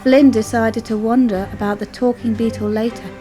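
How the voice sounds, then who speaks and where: A woman's voice: a little high, fairly even intonation, normal speed, dark tone, faint music behind. A woman storyteller reading a scripted children's story as narration.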